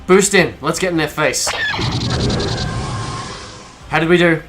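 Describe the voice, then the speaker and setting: A man's voice: fairly high pitch, unhurried, over loud music, natural voice. A man playing a cavalier, wisecracking smuggler pilot in an audio drama, sarcastic and breezy. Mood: urgent, commanding. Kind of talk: scripted audio drama acting.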